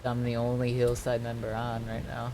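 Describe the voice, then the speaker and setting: A man streaming an online roleplay game, chatting casually and haltingly as he thinks aloud. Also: medium pitch, monotone, dark tone, a little hiss or hum. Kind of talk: casual gaming chat.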